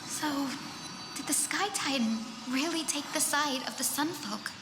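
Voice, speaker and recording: An actress playing a gentle but resolute young healer woman in a fantasy game, with earnest, formal delivery. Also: high voice, a little slow. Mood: puzzled. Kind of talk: scripted fantasy game acting. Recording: echoey hall reverb, music behind.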